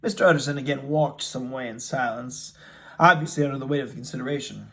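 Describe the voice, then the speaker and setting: A man giving a dramatic reading of a classic novel, somewhat halting and stumbling over the text. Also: medium pitch, vocal fry, sharp tone. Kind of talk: dramatic audiobook-style reading.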